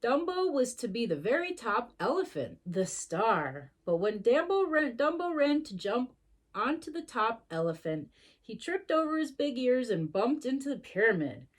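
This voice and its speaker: A woman's voice: a little high, sing-song, normal speed. A woman reading a storybook to young children expressively, adding sympathetic little asides.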